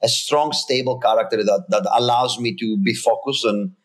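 A man's voice: mid-range voice, normal speed. A man in his thirties, originally from Greece, a company founder talking earnestly and emphatically as a guest, often restarting his sentences. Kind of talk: casual interview talk.

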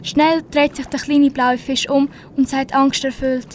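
A woman's voice: high-pitched, little pitch movement, unhurried, slight background noise. A teenage girl reading scripted story narration for an amateur radio play.

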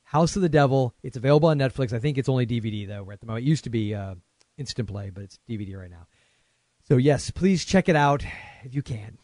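A man's voice: medium pitch, lively intonation, fast, darkish tone, no room sound. A man hosting a podcast, rambling and joking in casual chat. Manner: rambling and jokey, with many restarts. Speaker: podcast host.

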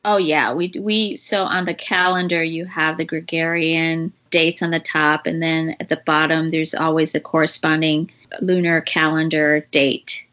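Middle-aged woman guest, american accent, grew up in china, kinda hesitant, lots of ums, lowish voice. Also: level tone, measured pace, fairly bright tone, highs cut off. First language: Mandarin. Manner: thoughtful, hesitant, with fillers and laughs. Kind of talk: casual interview.

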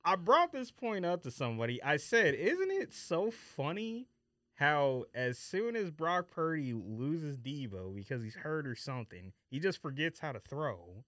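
A man's voice: on the high side, big pitch swings, measured pace, a bit bright, dry recording, clean studio sound. A man co-hosting a podcast, chatting casually and giving opinions with emphatic, slangy ranting. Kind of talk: casual opinionated sports chat.

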